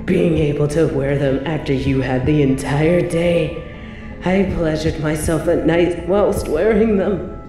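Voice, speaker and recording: An evil medieval queen, with a very low voice and a slow, savouring delivery. She is possessive and seductively menacing, speaking in formal, theatrical phrases for an audio drama. Her voice echoes over background music. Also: fairly even intonation. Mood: lustful, obsessive. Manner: theatrical, formal, savouring, dropping into breathy whispers. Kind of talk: scripted dramatic audio roleplay monologue.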